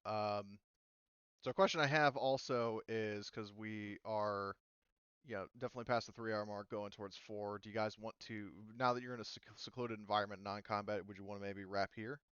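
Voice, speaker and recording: Man, game master, smooth voice, casual improvised narration, informal, dry slightly dull voice-chat recording. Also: fairly low pitch, steady tone, fairly quick, a bit bright, dry recording. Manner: informal, mildly profane.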